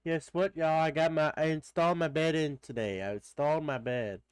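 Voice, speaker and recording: A man's voice: fairly high pitch, a little fast, clear, resonant tone, no room sound. A man with a stuffed-up nose, streaming a game live and chatting casually, greeting viewers by name and rambling with many fillers. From Texas.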